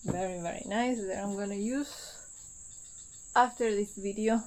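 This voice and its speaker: A pregnant woman, speaking slowly and enthusiastically, repeating words as she narrates her travel vlog. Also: medium pitch, a little mellow, a little hiss or hum. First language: Spanish. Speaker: travel vlogger. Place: outdoors at a lodge.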